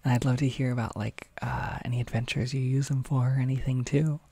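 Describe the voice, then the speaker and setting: Give me a soft-spoken, gravelly, husky man chatting on a livestream. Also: medium pitch, flat delivery. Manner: soft, halting, with frequent fillers and half-whispered stretches. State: slightly stuffy nose. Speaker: ASMR audio creator hosting a livestream. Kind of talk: soft-spoken casual livestream chat, reading chat.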